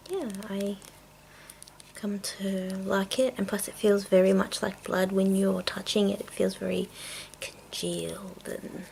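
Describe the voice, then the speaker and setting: A woman making a casual ASMR video, speaking in a breathy, mostly whispered voice with a halting, rambling delivery. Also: mid-range voice, steady tone, slight background noise, rough recording. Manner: hushed, unhurried, halting. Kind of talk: ASMR, rambling product show-and-tell.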